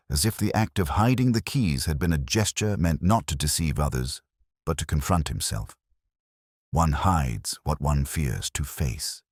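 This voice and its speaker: A man narrating a scripted first-person detective story in a measured, solemn, literary manner. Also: rumbling low, dry recording, studio quality.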